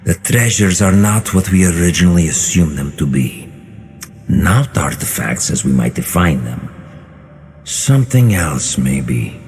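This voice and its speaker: Scheming game villain, low voice, eloquent, commanding, cold, big reverberant hall. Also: male voice, big pitch swings, darkish tone, heavily acted. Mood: cold, assured. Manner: measured, eloquent, condescending. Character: cold, scheming male Templar mastermind, lofty and commanding. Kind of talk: scripted video game drama. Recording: reverberant hall, music behind.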